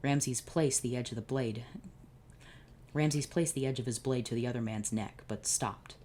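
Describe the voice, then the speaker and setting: A man's voice: medium pitch, normal speed, darkish tone, a little hiss or hum. Man reading fanfic with sarcastic asides. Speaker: channel host.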